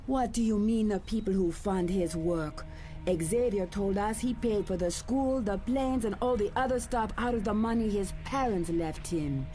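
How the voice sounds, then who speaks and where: Woman as a mellow young superheroine. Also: medium pitch, normal speed, faint music behind, lightly acted. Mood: puzzled. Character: questioning young female mutant superhero. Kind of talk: motion comic voice acting.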